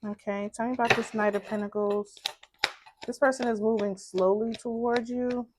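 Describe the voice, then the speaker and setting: A woman with a low voice, doing a casual tarot reading in a soft, musing way and repeating phrases as she thinks. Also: steady tone, moderate pace, noisy background. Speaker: tarot card reader.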